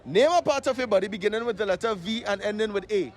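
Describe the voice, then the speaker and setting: A man's voice: high voice, fast. A man with a Trinidadian accent hosting a comic street quiz, coaxing people along with short repeated phrases. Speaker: street interviewer and show host. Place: outdoors on a street.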